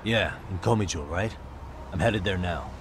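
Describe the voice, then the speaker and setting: An actor playing a determined, level-headed male detective, calm and businesslike, in a video game dub. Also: low voice, moderate pace, noisy background, close to an everyday voice. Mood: urgent, serious. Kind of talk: dramatic video game voice acting.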